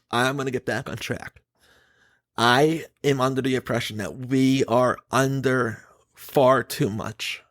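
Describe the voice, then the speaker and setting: A man's voice: medium pitch, no echo, studio quality. A man hosting a casual podcast, giving his opinions emphatically and swearing casually. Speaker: podcast host.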